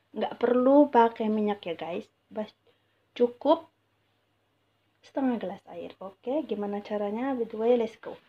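A woman's voice: fairly high pitch, a little mellow, muffled recording. A woman from Indonesia gives a casual, unscripted cooking tutorial in a chatty, repetitive way, dropping in English words. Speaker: home cooking vlogger. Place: home kitchen, indoors. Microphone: handheld phone behind the camera. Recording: noisy kitchen with frying sizzle. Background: frying sizzle, stir noises.

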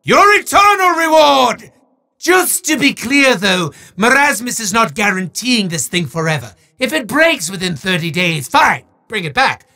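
A pompous male demon in a game who bellows his lines with theatrical menace and refers to himself in the third person. The voice swings wildly up and down in pitch, drags out its words slowly, and has a bright, forceful edge. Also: big pitch swings, full character voice. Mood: grandly menacing. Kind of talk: game character voice acting.

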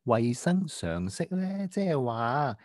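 A man voicing a prim, well-behaved young boy who gently lectures a friend in a children's radio drama, halting and patient. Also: medium pitch, very animated, no room sound, clean studio sound. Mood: gently reproving.

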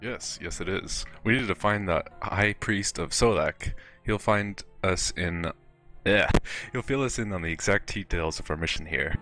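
Man voicing a very deep, dry knight. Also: normal speed, pushed and bright. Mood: dry, instructive. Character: dutiful adult male knight giving practical guidance. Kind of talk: fan dub of role-playing game dialogue. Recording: music behind the voice.

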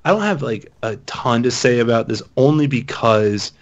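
An American man chatting casually as a podcast co-host, halting and often restarting his thoughts. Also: medium pitch, expressive, muffled recording. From United States, Texas and Northern Virginia. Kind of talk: casual chat.

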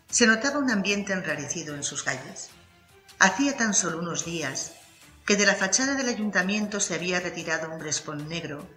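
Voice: mid-range voice, bright, forceful tone, reverberant. A narrator reading a scripted crime story for an audiobook.

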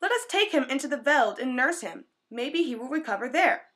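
A woman's voice: high-pitched, expressive, fairly quick, fairly bright tone. A woman voicing two jealous, greedy elder brothers, read plainly in a storybook. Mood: scheming.

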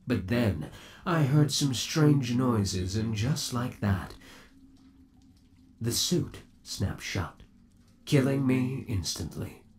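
A man's voice: medium pitch, expressive, slow, a little mellow, slight background noise. A man playing an undead, obsessive boyfriend trapped in a mechanical suit, sweet-talking and possessive in a theatrical ASMR roleplay. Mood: eerily calm. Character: undead yandere boyfriend trapped in springlock suit, menacingly doting. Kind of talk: ASMR roleplay, scripted monologue to the listener.

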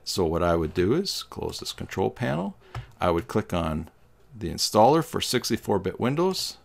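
A middle-aged man giving a step-by-step software tutorial in a plain, instructional manner. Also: lowish voice, creaky. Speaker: tutorial host. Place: small indoor room with green screen.

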